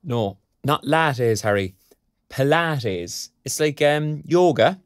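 A man's voice: medium pitch, takes it slowly, no room sound, studio quality. An actor playing a chatty, put-upon, good-natured younger father in an audio drama, speaking colloquially with an Irish accent. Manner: colloquial, animated.

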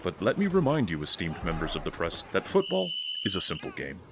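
An actor playing a stern, high-minded older 1920s college football coach in an audio drama, speaking American English with formal, pompous oratory. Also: male voice, wildly swooping pitch, brisk, heavily acted. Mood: solemn, assured. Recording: clearly noisy background ambience.

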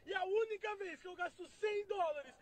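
A man's voice: very high-pitched, a little fast. A young man ranting to the camera in a played clip. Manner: complaining rant to camera.

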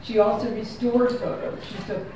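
A woman's voice: a little high, little pitch movement, moderate pace. A woman photographer giving an unscripted gallery talk, rambling and correcting herself as she goes, recorded by a far camera in a small gallery room. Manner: rambling, self-correcting, chatty. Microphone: far room camera, no microphone. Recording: very echoey room from far off with background noise.

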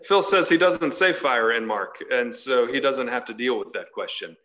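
A man's voice: mid-range voice, animated, normal speed, a bit bright. A man in his forties giving an emphatic sermon, reading scripture and explaining it, repeating phrases for stress. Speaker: preacher leading a Bible study. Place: large church hall, indoors.

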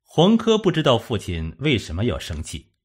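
A man narrating a suspense novel as an audiobook, in a measured, dramatic storytelling manner. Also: medium pitch, huge pitch swings, slightly rough.

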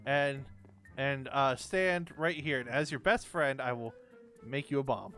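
A woman's voice: extremely low pitch, a little slow, a bit bright, soft background music. A woman as a nervous, stammering unicorn pal. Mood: alarmed, stalling. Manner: hesitant, stammering, pleading. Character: nervous, well-meaning best pal, unicorn character. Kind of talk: improvised game roleplay.

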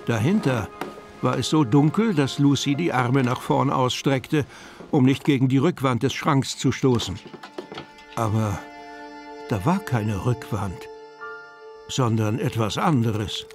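A man's voice: medium pitch, animated, measured pace, long pauses. A man narrating a children's fantasy radio play as a storyteller. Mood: calm storytelling. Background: music.

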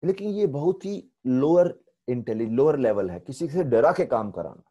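A man in his forties with an Indian accent, giving an online spiritual lecture. He tells stories in a lively way and mixes English phrases into his Hindi. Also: mid-range voice, normal speed, husky, lo-fi sound. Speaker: spiritual teacher. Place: temple room indoors. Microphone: earphone headset mic on video call.

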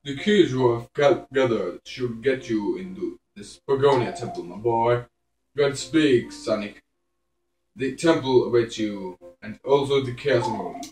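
A man's voice: mid-range voice, measured pace, a little mellow, natural voice. Man playing a kindly professor in a roomy recording. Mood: kindly, solemn. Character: kindly formal older male professor. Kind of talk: amateur game parody voice acting. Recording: roomy recording over game music.

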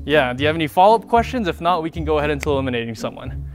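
A man's voice: on the high side, very animated, rapid-fire, soft background music. Man hosting a studio guessing game. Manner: upbeat, directing contestants. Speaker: host. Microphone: handheld mic.

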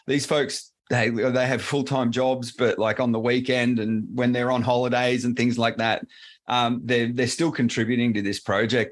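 A man's voice: medium pitch, fairly bright tone. A man with an Australian accent explaining technology as an interview guest, loose and full of fillers and restarts. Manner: rambling, many fillers and restarts. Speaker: presales solution architect, guest. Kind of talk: casual interview answers.

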